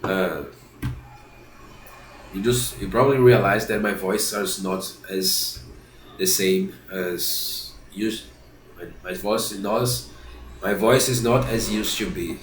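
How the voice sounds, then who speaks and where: A man's voice: lowish voice, steady tone, takes it slowly, pauses often, echoey room, a little hiss or hum, poor audio. A man with a congested, stuffy nose from a cold, reading a classic novel aloud and often stopping to reread and correct himself. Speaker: reader of a book series.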